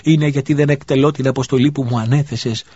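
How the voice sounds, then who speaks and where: Male narrator doing a crying prophet praying in despair, husky tone, muffled audio. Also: medium pitch, steady tone, warm tone, pitched above the performer's own voice, lightly acted. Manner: tearful, self-accusing prayer. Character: weeping man, a despairing prophet. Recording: dull lo-fi recording.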